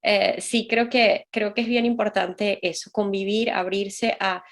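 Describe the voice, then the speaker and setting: A woman's voice: mid-range voice, steady tone, a little slow. A woman hosting an interview in a casual, reflective style, searching for words and repeating them for emphasis. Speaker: interview host.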